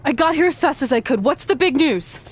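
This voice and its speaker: A woman's voice: high-pitched, animated, a little fast. A woman playing an eager, earnest young female wrestler in a video game, chatty with a dry, self-deprecating wit. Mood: wry, awkward. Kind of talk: video game cutscene acting, conversational.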